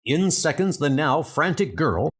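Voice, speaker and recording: A man's voice: on the high side, big pitch swings, measured pace. A man reading dramatic scripted narration for a comic book story.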